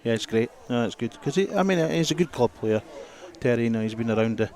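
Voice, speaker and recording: A man's voice: medium pitch, expressive, quick pace, a little mellow. A man giving conversational analysis as co-commentator on a live rugby broadcast, outdoors at the ground.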